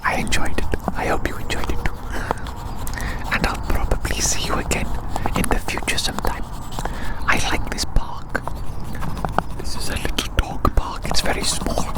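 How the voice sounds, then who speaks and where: ASMR host, fully whispered and breathy, silly rambling tone with repeated phrases, outdoor park setting. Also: low-quality recording. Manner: slow musing, gently repeats phrases; playful, silly. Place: small outdoor dog park.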